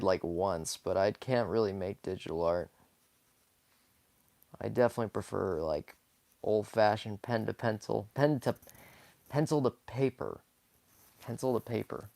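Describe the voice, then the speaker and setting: A hoarse young man talks casually while sketching live, rambling softly with many fillers and small laughs. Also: on the low side, dry recording. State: hoarse, scratchy from talking too much. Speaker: live-streaming sketch artist. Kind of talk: casual commentary while drawing.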